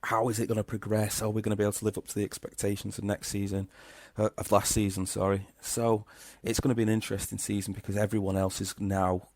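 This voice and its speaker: A man with a Liverpool accent co-hosting a casual football podcast, chatty and quick to laugh at himself. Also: on the low side, flat delivery, no room sound. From Crewe, England. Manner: chatty, laughs often, self-deprecating. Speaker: podcast co-host.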